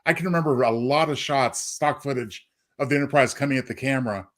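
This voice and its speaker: A man's voice: medium pitch, fast. A man co-hosting a podcast, talking in a casual, analytical way. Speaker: podcast co-host.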